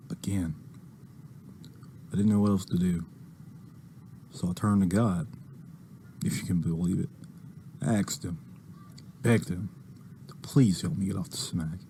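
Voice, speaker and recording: Jittery male ex-junkie inmate, acted by a man: slow, mellow, hesitant, pleading, faint noise behind. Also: low voice. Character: jittery, earnest male ex-addict convict. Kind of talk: dramatized comic dialogue.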